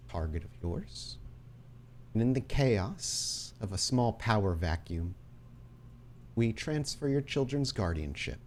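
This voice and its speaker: A man playing a commanding male crime patriarch who speaks in a measured, deliberate way. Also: mid-range voice, a little hiss or hum, pitched above the performer's own voice. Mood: cool reassurance. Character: commanding, coolly smiling male crime patriarch with ringed fingers.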